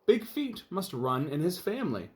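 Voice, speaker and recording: A man's voice: high voice, very animated, moderate pace, a little mellow, pitched above the performer's own voice. A man voicing a nosy, quippy town reporter in a children's storybook, prodding and emphatic. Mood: wry.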